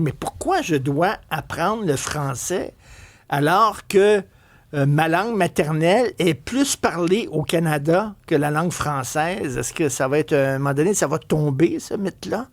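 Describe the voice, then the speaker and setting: A man with a Québécois accent hosting a talk radio interview. His voice sits a little high and sounds dry and close on a studio microphone. He questions in a probing, conversational way, often restarting his sentences. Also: moderate pace, no echo. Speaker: radio host.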